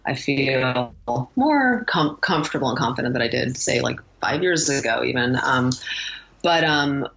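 Woman, low-pitched voice, interview guest, reflective, rambling, self-interrupting, casual, slightly dull recording. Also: expressive, normal speed, vocal fry, fairly bright tone.

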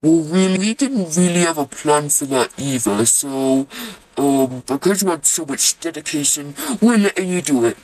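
A man's voice: a little high, animated, normal speed, darkish tone, poor audio. A man playing a laid-back purgatory leader trying to sound cool, slangy and hesitant, in an amateur cartoon. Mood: hesitant, eager. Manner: slangy, bro-heavy, hesitant.

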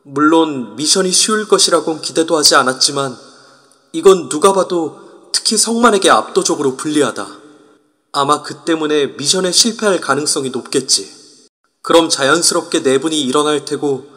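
An observant young male player in an audio drama. The narration is measured, slightly high and reflective. Long reverb trails each line. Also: expressive, bright, forceful tone. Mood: tense. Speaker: narrator and lead character. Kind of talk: first-person scripted narration.

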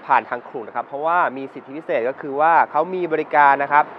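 A man's voice: a little high, steady tone, quick pace, slight background noise. Polite man presenting, slightly dull sound. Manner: polite, ends phrases with formal particles. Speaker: food show host. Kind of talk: casual food chat and presenting to camera.

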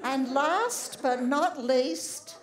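A woman, giving a lively talk on a theatre stage. Her voice is a bit croaky, and she keeps checking in with the audience with a quick "right?". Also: sits high, background noise. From Australia. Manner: conversational, often tags lines with "right?". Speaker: speaker giving a public talk. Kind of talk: lively public lecture.

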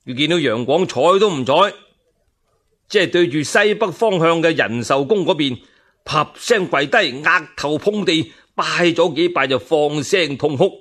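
Unhurried Cantonese man telling historical tales. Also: a little high, animated, a bit bright. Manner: dramatic, colloquial, unhurried. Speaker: storyteller. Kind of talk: animated scripted narration of historical tales.

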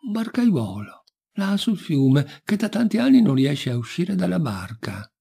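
A man's voice: high-pitched, very animated, measured pace, vocal fry, warm tone, husky, voice pushed up, heavily acted. A man voicing an ogre's sly young wife in a folk tale, plaintively recounting pretended dreams. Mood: coaxing, dreamy.

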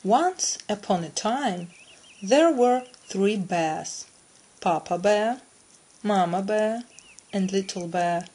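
A woman storyteller reads a children's tale at a slow pace, with a medium-pitched voice. Her phrasing is deliberate and easy to follow for language learners. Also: a little mellow, slightly rough. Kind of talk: scripted children's story narration.

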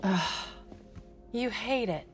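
A woman's voice: medium pitch, slow pace, over music, close to an everyday voice. A woman playing a teasing, perceptive teenage girl in a video game, with a wry, casual manner. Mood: gentle teasing. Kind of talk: naturalistic video game acting.

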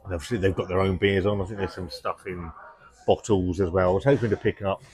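Low-voiced man chatting in a pub. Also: steady tone, quick pace, darkish tone. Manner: chatty, enthusiastic, lots of ums and restarts. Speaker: beer reviewer. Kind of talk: casual vlog review. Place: indoor pub, seated at table.

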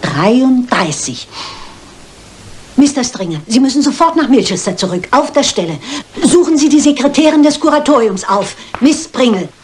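High-voiced shrewd elderly lady detective. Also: expressive, a little mellow, a little hiss or hum. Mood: deliberate, insistent. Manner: brisk, deliberate, reasoning aloud with authority.

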